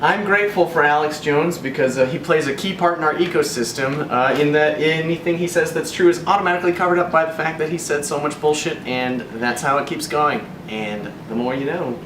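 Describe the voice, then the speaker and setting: A man's voice: a little high, fairly quick, hardly any pauses, recorded from a distance. A young man co-hosting a casual chat, talking in a wry, irreverent, sweary way. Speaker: co-host. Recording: echoey noisy room, off mic.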